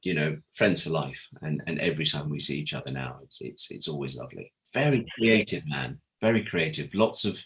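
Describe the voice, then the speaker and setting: A British man with a low voice speaks as a guest in a relaxed interview. He tells behind-the-scenes stories conversationally, with animated delivery and quoted exchanges acted out. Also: fairly quick, few pauses, poor audio. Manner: animated storyteller, acts out quoted dialogue. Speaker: interview guest, former audio drama producer. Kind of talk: casual anecdotal interview chat.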